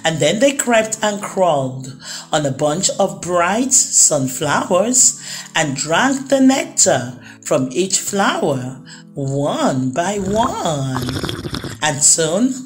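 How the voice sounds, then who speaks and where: Slow, animated woman storyteller. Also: lowish voice, low-quality recording. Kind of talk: expressive children's read-aloud narration. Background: music.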